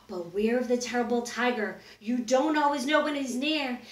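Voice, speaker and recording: A middle-aged woman reading a children's picture book aloud in an exaggerated, expressive way, with drawn-out words. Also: on the high side. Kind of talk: animated children's story read-aloud. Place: small wood-paneled room indoors. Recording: roomy, echoing indoor recording.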